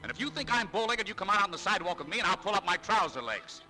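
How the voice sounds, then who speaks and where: An actor playing a proud, needling, easily stung male shop clerk in a 1940s radio drama, wry and quick to indignation. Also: sits high, big pitch swings, bright, forceful tone, full character voice. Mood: indignant. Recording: vintage 1940s radio broadcast, music behind.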